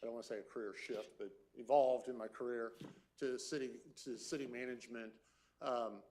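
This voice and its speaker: A man gives his background in a council chamber. He pauses often with "uh" and "um" as he lists his experience. His voice sits at an ordinary male pitch. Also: steady tone, a little mellow. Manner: hesitant, lots of fillers. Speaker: city manager candidate. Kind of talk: public meeting introduction.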